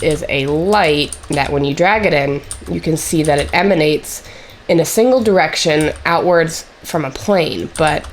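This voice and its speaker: Low-voiced woman teaching, recovering from a cold. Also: a little hiss or hum. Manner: measured, explanatory, with frequent fillers like "basically". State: getting over a cold. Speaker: course instructor. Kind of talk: screen-recorded software tutorial.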